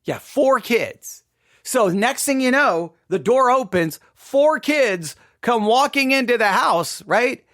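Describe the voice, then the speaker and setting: A man's voice: extremely high pitch, lively intonation, slow pace, fairly bright tone. A man telling a personal story to his listeners as a podcast host, speaking emphatically and repeating phrases for effect.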